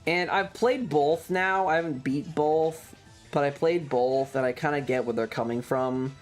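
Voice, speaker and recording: Video game streamer, casual livestream chat, medium pitch, rambling, blunt, sweary, background music. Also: measured pace.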